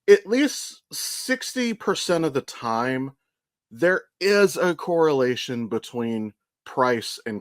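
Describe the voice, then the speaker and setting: A man's voice: mid-range voice, fairly bright tone, no room sound, clean studio sound. An American man, maybe in his thirties, co-hosting a podcast in a rambling, informal, sweary way with frequent self-corrections. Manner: profane, informal, stop-start with self-corrections. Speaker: podcast co-host. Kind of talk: casual rambling podcast chat.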